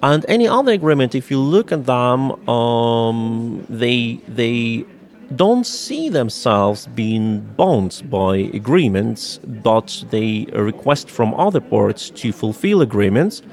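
A man from Russia with a Russian accent, a native Russian speaker, explaining politics in a halting, deliberate and emphatic way as an interview guest. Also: mid-range voice, sing-song, a little mellow.

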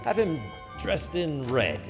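An actor playing a smug, self-assured sheriff in a medieval audio drama, talking in an urbane, teasing manner. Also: male voice, a little high, gravelly, heavily acted. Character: smug, confident male sheriff, a prince's trusted friend. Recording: reverberant hall with faint music behind.

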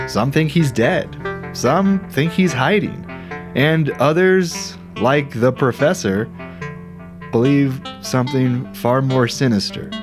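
A man's voice: medium pitch, slow, music in the background, lightly acted. A man playing a wry, aggrieved investigator, narrating an audio drama thriller with sardonic, dramatic emphasis. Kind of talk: scripted first-person thriller narration.

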